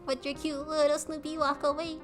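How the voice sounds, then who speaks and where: Woman streamer, high bright voice, bubbly and giggly, casual chat with viewers. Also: level tone, fairly quick, soft background music. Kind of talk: casual chat with live chat while playing a game.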